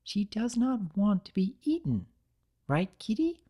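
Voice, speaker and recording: A woman voicing a gentle, protective young female cartoon pony in a story reading, soft, breathy and hesitant. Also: medium pitch, sing-song, measured pace, pitched above the performer's own voice.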